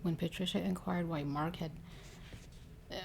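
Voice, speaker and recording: Very creaky low-voiced woman reading ASMR. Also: fairly even intonation, moderate pace, a little mellow, a little hiss or hum. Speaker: story reader. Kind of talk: ASMR reading of a ghost story.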